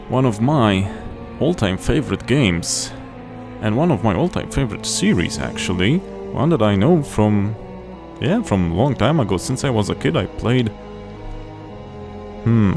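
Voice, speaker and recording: Young man hosting a game playthrough, lowish voice, loose chatty delivery, game music underneath. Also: gravelly. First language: Polish. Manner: chatty, restarts phrases while explaining. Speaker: gaming channel host. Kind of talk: casual gameplay commentary.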